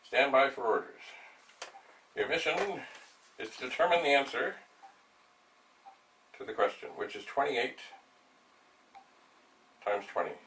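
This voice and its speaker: An older man hosting a vlog, thinking aloud in a rambling, halting way as he narrates a hands-on demonstration. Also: medium pitch, lively intonation, sharp tone. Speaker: vlog host, retro computer hobbyist. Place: small cluttered indoor room at home. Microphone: camera mic a few steps away. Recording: distant mic, noisy room.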